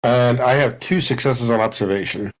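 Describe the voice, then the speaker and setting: A woman playing a tabletop roleplaying game, speaking in a wry, animated way. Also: a bass voice, moderate pace, rough recording. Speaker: player.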